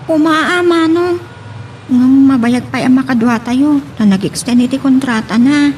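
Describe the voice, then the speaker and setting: A woman's voice: high voice, a little slow, a little mellow, slight background noise. A woman with an Ilocano Filipino accent playing a young, dutiful, soothing student daughter in an audio drama. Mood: hopeful. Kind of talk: Ilocano radio-style drama acting.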